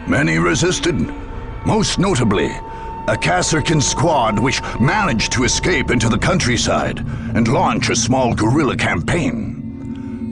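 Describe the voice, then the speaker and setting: An actor playing a grave chronicler of grim far-future wars, narrating a game cutscene in a solemn, measured, portentous way. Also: male voice, medium pitch. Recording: music behind the voice.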